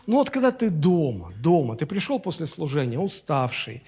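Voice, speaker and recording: A man's voice: on the high side, sing-song, moderate pace, a little mellow, husky. A man preaching a Sunday sermon in Russian, in a conversational style with fillers and anecdotes, and his voice sounds strained. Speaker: pastor. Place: church.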